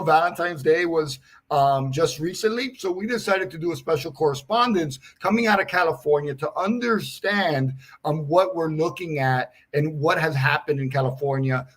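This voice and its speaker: Guy hosting a live industry show, slightly high voice, keeps pushing viewers to follow and chime in. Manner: energetic, repetitive calls to engage. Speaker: show host. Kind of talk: livestream hosting.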